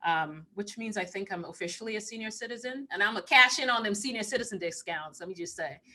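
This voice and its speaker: Female live-stream host, fifties, from Trinidad. Mid-range pitch, informal, playful, conversational delivery. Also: fast talker, bright, forceful tone. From Trinidad and Tobago. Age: 50s. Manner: informal, playful, breaking into chuckles. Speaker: spiritual channeler hosting a live stream Q&A. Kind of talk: casual live-stream chat. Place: online live video call.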